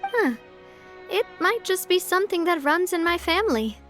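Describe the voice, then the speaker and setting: A woman's voice: very high-pitched, big pitch swings, normal speed, heavily acted. A woman voicing a cheerful, confident young noblewoman who laughs easily and turns wistful when reflecting, in a video game. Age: young adult. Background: soft game music.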